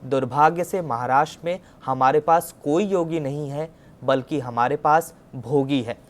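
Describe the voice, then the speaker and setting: A man's voice: a little high, moderate pace. A man presenting a news report, explaining as he goes and often restating his points.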